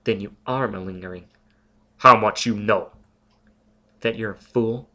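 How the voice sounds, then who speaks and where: A prim, high-strung young woman in a storybook, as a male reader puts her on, speaking high and quick with worry. She sounds lonely and easily frightened, her voice lifting sharply when upset. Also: sharp tone. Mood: flustered. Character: young woman, a lonely nervous telepath teacher.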